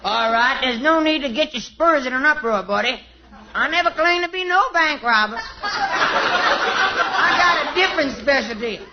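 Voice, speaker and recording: A comedian playing a hapless, cowardly cowboy outlaw who blusters in mangled slang on radio. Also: male voice, very high-pitched, animated, moderate pace, crowd noise. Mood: blustering. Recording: dull, very noisy old radio recording.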